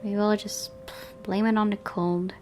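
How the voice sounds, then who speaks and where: A woman's voice: mid-range voice, fairly even intonation, unhurried, a little mellow, a little hiss or hum. A woman hosting a gaming video, reading visual novel narration expressively and adding casual asides.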